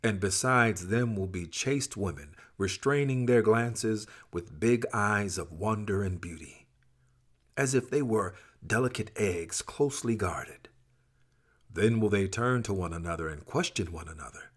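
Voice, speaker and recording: Low, husky male scripture reader. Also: lively intonation, unhurried. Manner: solemn, emphatic, dramatic phrasing. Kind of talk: expressive scripted scripture recitation.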